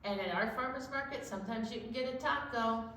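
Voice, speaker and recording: A woman presenting a children's storytime in a playful, animated way, moving between chatty talk and sing-along. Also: fairly high pitch, brisk. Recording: very echoey room, distant mic, faint noise.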